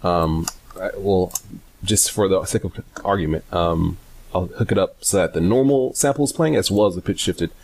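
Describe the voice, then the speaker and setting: Low-pitched man, casual halting tutorial talk. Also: darkish tone, slightly rough. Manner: hesitant, halting, self-correcting, rambling delivery. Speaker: software tutorial presenter. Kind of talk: casual unscripted screencast tutorial.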